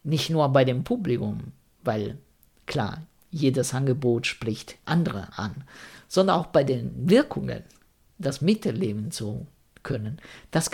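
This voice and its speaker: A man's voice: mid-range voice, measured pace, gravelly, a little mellow, husky. A man from Rome speaking German with an Italian accent, answering interview questions thoughtfully and searching for words. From Italy (Rome). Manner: thoughtful, searching for words, non-native grammar.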